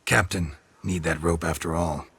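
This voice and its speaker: Very raspy, stoic monster hunter. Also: male voice, low-pitched, moderate pace, exaggerated voice. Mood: dry menace. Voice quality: very husky rasp. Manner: deadpan, terse, clipped sentences. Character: stoic, menacing monster hunter, calm and dry. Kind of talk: understated video game acting.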